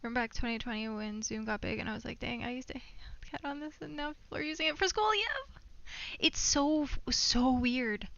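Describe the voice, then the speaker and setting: A woman's voice: sits high, fairly quick, gravelly, a bit bright, no room sound, lo-fi sound. A young woman live streamer chatting casually from a room at home, rambling and thinking aloud as she plays. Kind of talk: casual gaming livestream chat.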